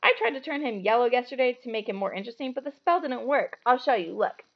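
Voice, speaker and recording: Woman reading as a blunt, creaky-voiced young schoolboy. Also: on the high side, lively intonation, fast, lo-fi sound, voice pushed up. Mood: grumbling. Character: blunt, casual young schoolboy, easily disgusted.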